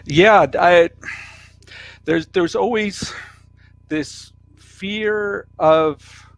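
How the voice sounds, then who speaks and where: A man's voice: high voice, extremely slow, gravelly, slight background noise. A man from the United States with an American accent, co-hosting a live stream discussion, talking casually and often repeating words as he thinks. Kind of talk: casual discussion about business change.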